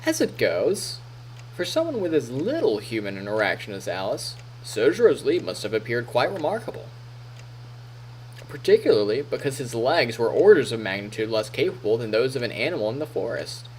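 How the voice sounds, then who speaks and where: A man's voice: medium pitch, sing-song, normal speed, a little mellow, slight background noise, low-quality recording. A male streamer reading a visual novel aloud expressively as narrator, on a close microphone. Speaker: live streamer. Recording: music faintly behind.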